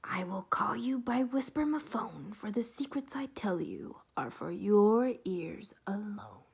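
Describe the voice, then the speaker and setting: A woman storyteller voicing a secretive old male hermit from a storybook, hushed and whispery, in a mysterious drawn-out manner. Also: mid-range voice, sing-song, vocal fry, a little hiss or hum, heavily acted.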